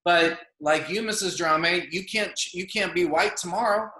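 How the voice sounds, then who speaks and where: A man moderating a panel discussion, speaking in a thoughtful, measured way and often adding "I think" and "you know.". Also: high-pitched, sharp tone, roomy sound, poor audio. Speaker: session moderator.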